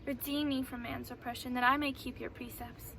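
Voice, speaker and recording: A woman's voice: high voice, little pitch movement, fairly quick. A woman reading scripture aloud, recorded a little away from the mic with some noise. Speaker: Bible reader. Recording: slightly distant mic with faint noise.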